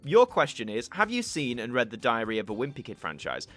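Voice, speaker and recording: A man's voice: mid-range voice, wildly swooping pitch, a little fast, fairly bright tone, soft background music. A young man with a mixed northern English, mostly Yorkshire, accent, chatting casually as he answers viewer questions and thinks aloud. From Yorkshire, England. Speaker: YouTube channel host. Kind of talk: casual Q&A chat.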